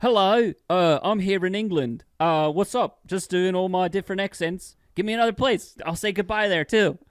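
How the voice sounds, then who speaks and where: A man's voice: high-pitched, normal speed, no room sound, clean studio sound, voice pushed up, natural voice. A man putting on a hammy English gent. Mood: cheery. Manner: hammy, playful. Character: mock English gentleman, jolly and chatty.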